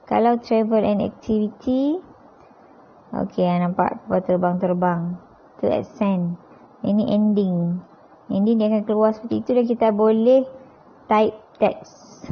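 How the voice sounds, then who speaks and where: A woman's voice: mid-range voice, fairly even intonation, a little slow. A woman with a Malaysian accent giving an informal step-by-step app tutorial, conversational and often checking in with tag questions. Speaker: tutorial presenter, teacher. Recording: dull, lo-fi sound.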